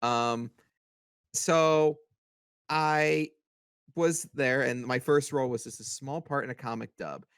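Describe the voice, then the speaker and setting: Young man in casual, halting podcast chat. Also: medium pitch, fairly bright tone, clear, resonant tone, no echo, studio quality. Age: young adult. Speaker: podcast member, amateur voice actor.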